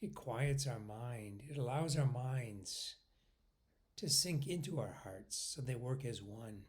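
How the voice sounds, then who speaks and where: Guy leading a spiritual meditation, really slow, medium pitch that moves around a lot, pauses between bits. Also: animated. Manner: unhurried, pausing between short phrases, often trailing off softly. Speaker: meditation guide.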